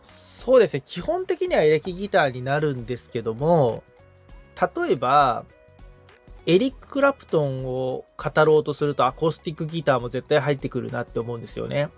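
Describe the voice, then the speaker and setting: A man's voice: a little high, very animated, brisk, creaky, soft background music. A man assisting on a podcast, talking casually but politely and thinking aloud as he answers. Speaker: podcast assistant, guitar repairman.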